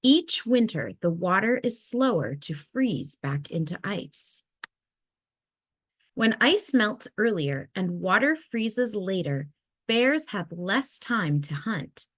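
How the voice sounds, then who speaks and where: A woman reading a children's nonfiction picture book aloud in a measured, expressive storytelling way. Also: mid-range voice, dry recording. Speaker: read-aloud narrator.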